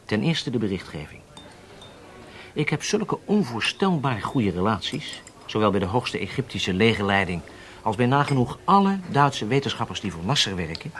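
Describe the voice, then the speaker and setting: A man's voice: fairly low pitch, fairly bright tone. An actor in a Dutch radio drama playing a self-assured, impatient undercover spy, speaking emphatically as if lecturing his handlers.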